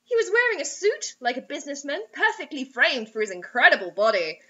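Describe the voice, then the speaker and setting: Young woman, high voice, hamming up a dramatic read-aloud with wry asides; lo-fi webcam recording. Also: big pitch swings, brisk, pushed and bright. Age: young adult. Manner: theatrical, overwrought. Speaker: co-host reading bad fiction aloud. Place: small indoor room. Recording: dull lo-fi webcam sound.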